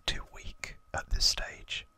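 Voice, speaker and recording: Slow, bright ASMR whisper. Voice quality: breathy unvoiced whisper. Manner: casual, informal explaining. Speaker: ASMR host. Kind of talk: whispered ASMR trivia narration.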